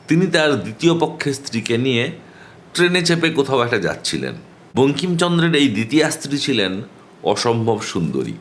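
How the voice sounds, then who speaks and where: Low-voiced man telling amusing anecdotes. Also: fairly bright tone. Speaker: storyteller. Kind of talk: humorous anecdote narration.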